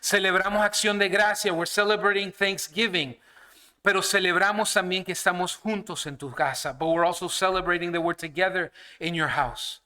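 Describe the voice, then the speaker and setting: A man's voice: high voice, moderate pace, bright, forceful tone. A man from Canada, a guest pastor, preaching and praying in a church, switching each phrase between two languages in an earnest, personal manner. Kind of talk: bilingual sermon and prayer. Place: church sanctuary.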